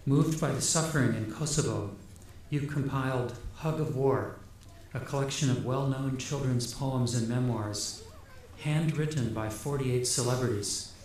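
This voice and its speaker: A man's voice: mid-range voice, darkish tone. A man formally reading out a scripted award citation as a university provost. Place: large ceremony hall. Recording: very echoey hall, faint noise.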